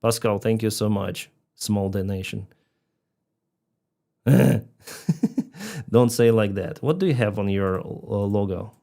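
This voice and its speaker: Slow, low-voiced Ukrainian man streaming. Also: creaky, mellow. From Crimea. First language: Russian. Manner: chatty, laughs often, reads out donor names. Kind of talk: casual live stream chat reading donations.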